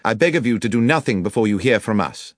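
A man acts out a gentleman detective in a storybook. He is shrewd, formal and dryly ironic, with precise, commanding delivery. His intonation swings widely, and the sound is close and dry. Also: lowish voice, expressive, fast, no echo, pitched above the performer's own voice. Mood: firm, urgent.

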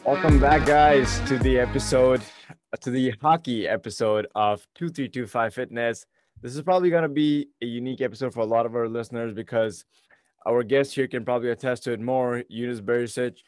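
A man's voice: mid-range voice, brisk, a little mellow, dry recording, soft background music. Male podcast host, dry close sound. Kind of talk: podcast intro and interview.

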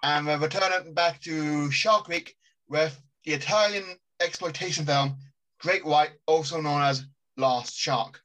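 Chatty man, fairly high voice. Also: measured pace, poor audio. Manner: relaxed, amused, reads out trivia facts. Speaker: podcast co-host. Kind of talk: casual chat.

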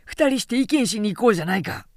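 A woman voicing a blunt, hot-tempered male warrior who grumbles in rough speech, in an audiobook novel. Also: medium pitch, lively intonation, quick pace, no echo, studio quality, voice pushed up, lightly acted. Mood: impatient urging. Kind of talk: literary audiobook dialogue.

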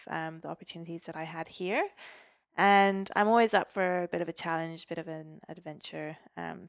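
Low-voiced woman from Norway chatting informally. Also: clear, resonant tone, dry recording, clean studio sound. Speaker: podcast guest, physiotherapist and weightlifter. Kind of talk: casual interview chat.

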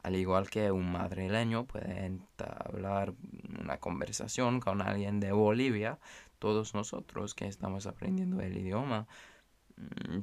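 A man who is a native English speaker, talking Spanish with an English accent, hosting a language-learning podcast in a measured, explanatory way with light jokes. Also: fairly low pitch, gravelly. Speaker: podcast host. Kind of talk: scripted language-learning monologue.

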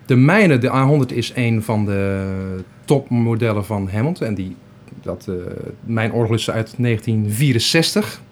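A man's voice: medium pitch, expressive, normal speed, hardly any pauses, a little hiss or hum. A man, an organist, introducing himself and his instrument in casual interview talk. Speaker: Hammond organist.

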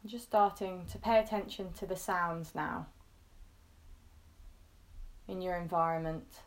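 Female meditation guide. Unhurried, very slow delivery, slightly low pitch, gentle and reassuring. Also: steady tone. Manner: gentle, unhurried, reassuring, with pauses between short phrases.

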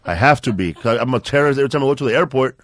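A man's voice: medium pitch, animated, rapid-fire, dry recording, studio quality. A man, a comedian guest on a podcast, telling laid-back anecdotes and slipping into voices of people he met. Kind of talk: casual storytelling chat.

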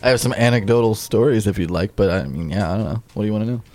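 A man's voice: fairly low pitch, racing, darkish tone. A man chatting casually with a friend who is playing a game, making dry, wry remarks. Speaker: podcast co-host. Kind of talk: casual chat.

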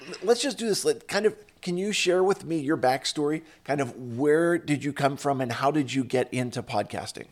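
A man's voice: on the high side, lively intonation, normal speed, hardly any pauses. A man hosting a podcast interview, introducing his guest with upbeat enthusiasm. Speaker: podcast host. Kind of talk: casual interview.

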